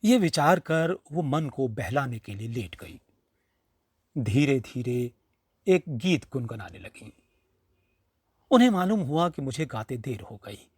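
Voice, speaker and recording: A man reading a literary short story as its narrator, in an expressive storytelling style. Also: medium pitch, a little slow, long pauses, slightly rough, no room sound, clean studio sound.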